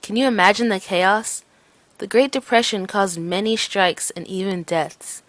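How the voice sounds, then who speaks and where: A woman's voice: mid-range voice, a little slow, a bit bright, no echo. Young woman reading a mock radio ad. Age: teens. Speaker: student announcer.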